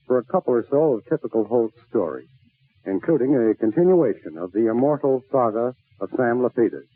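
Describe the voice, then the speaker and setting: Husky 1940s radio host, male. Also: mid-range voice, no echo. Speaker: variety show host. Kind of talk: 1940s radio announcing, scripted introduction. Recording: muffled, dry old 1940s radio broadcast.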